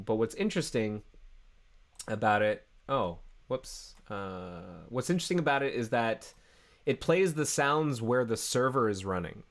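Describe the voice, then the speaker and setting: A man's voice: medium pitch, a bit bright. A man hosting a coding livestream in a casual, rambling way, sounding congested from a cold, recorded from his home office. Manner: rambling, self-interrupting, easygoing. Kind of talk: casual live-stream chat.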